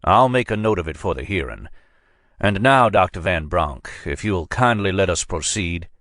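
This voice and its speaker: A man's voice: low-pitched, expressive, moderate pace, a bit bright, no room sound, clean studio sound. A man voicing a polished, cunning male FBI agent with a Southern accent, courteous but coolly commanding.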